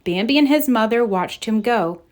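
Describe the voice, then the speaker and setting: A woman reading a children's story aloud in an expressive, deliberate storybook narration style. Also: a little high. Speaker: storybook reader.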